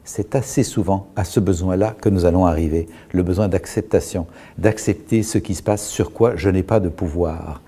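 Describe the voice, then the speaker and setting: A middle-aged man talks slowly and thoughtfully about feelings, pausing often. His voice sits fairly low and sounds mellow and soft-edged. He explains patiently, like someone guiding a listener. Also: breathy edge. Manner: calm, measured, with frequent pauses and restarts. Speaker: guest speaker on emotions. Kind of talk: reflective explanatory talk.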